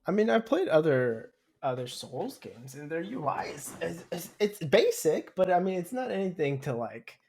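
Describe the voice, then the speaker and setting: A man's voice: on the high side, very animated, moderate pace, few pauses, darkish tone, slight background noise. A man co-hosting a podcast in casual chat, rambling hesitantly and often repeating his phrases. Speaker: podcast co-host.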